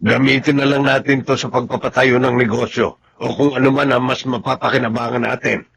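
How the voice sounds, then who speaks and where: A humble Filipino dad in his fifties in a radio drama. He is plain-spoken and hesitant, with a mid-pitched voice, always putting others first. Faint noise and ambience sit behind him. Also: fairly even intonation, fairly quick, vocal fry, a bit bright. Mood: reluctant, humbled. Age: 50s. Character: humble, reluctant fifty-year-old Filipino father, self-sacrificing. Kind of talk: Tagalog radio drama acting.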